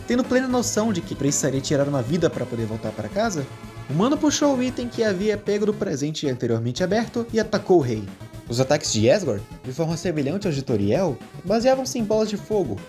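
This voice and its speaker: A man with a Brazilian accent reading scripted story narration in an expressive storyteller's manner. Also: a little high, quick pace, faint music behind.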